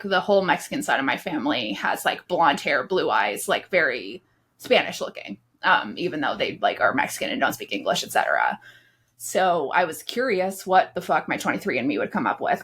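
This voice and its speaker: Woman co-host, husky voice, lowish pitch, blunt, swears a lot, laughs often. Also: a bit bright. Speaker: podcast co-host. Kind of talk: casual pop culture chat.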